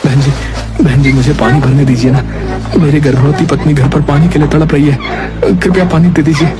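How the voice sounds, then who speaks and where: A man's voice: on the high side, steady tone, a little fast, natural voice. Man acting an earnest, poor village husband. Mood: pleading. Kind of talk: acted dialogue in a Hindi cartoon moral story. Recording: music behind the voice.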